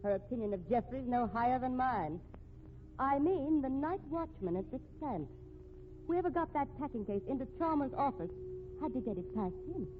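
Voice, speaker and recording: Cool, sardonic widow, lilting, hissy recording. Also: female voice, high-pitched, animated, moderate pace. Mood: sly, insinuating. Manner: arch, haughty, drily mocking. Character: cool, sardonic, unflappable widow, a murder suspect. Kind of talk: 1950s radio mystery acting. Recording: noisy, tinny old radio recording.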